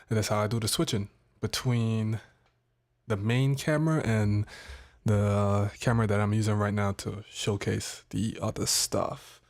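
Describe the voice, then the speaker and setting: Slow laid-back man with a cold. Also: fairly low pitch. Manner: laid-back, chatty, pauses to fiddle with gear. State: has a cold, a bit nasal. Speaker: tech streamer. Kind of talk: casual live stream walkthrough. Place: home streaming setup, indoors. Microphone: studio mic on desk.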